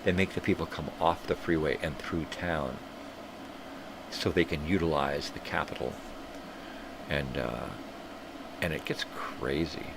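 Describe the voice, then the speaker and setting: A man's voice: a bass voice, measured pace, long pauses. An older man hosting a live stream, rambling through casual, profane tangents while sniffly from a cold. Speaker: livestream host. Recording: clear background hum.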